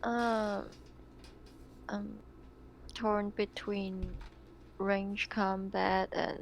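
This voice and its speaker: Slow, flat, gravelly woman player. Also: mid-range voice, a little hiss or hum. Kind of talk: casual chat at a game table.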